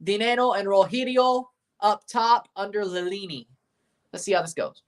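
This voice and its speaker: A man's voice: high-pitched, moderate pace. A young man hosting a live sports watchalong stream, reading chat aloud and giving opinionated takes. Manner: reads out team lineups. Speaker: livestream host reading chat.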